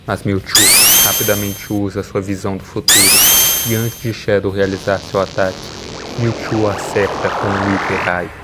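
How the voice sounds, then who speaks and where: A man's voice: on the low side, flat delivery, moderate pace, over loud music, poor audio. A man with a Brazilian accent reading dramatic scripted narration.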